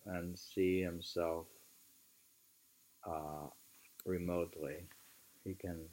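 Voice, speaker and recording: Man reading aloud in a mellow, low voice. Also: level tone, slow pace, rough recording. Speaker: reader. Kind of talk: expressive literary reading aloud with informal asides.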